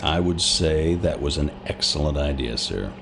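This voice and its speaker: An actor playing a blunt intelligence officer giving a businesslike wartime briefing in a video game. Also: male voice, extremely low pitch, normal speed, gravelly, background noise. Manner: businesslike, deferential, plain-spoken.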